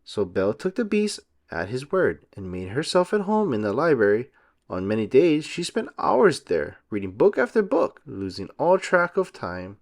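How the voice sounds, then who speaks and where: A man giving an expressive, deliberate storybook narration for children. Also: mid-range voice. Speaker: read-aloud narrator for children.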